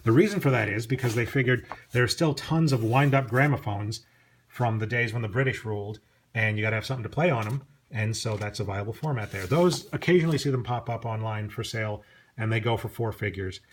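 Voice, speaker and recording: A man's voice: fairly low pitch, brisk. A middle-aged man gives a casual, unscripted show-and-tell as a collector, chatty and prone to digressions. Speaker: record collector presenting collection. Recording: clear background noise, clicks.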